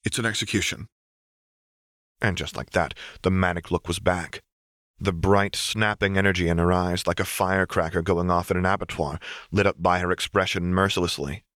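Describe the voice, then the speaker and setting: A man's voice: sits low, little pitch movement, moderate pace, fairly bright tone, no echo, studio quality. A man reading fiction aloud as scripted, dramatic audiobook narration.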